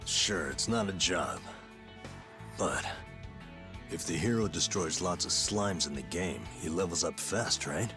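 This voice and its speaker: A man's voice: low voice, expressive, measured pace, pauses often. An actor playing a laid-back, teasing middle-aged man in a game cutscene with music behind. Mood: encouraging. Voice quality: easygoing, teasing, laughs easily. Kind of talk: video game cutscene dialogue.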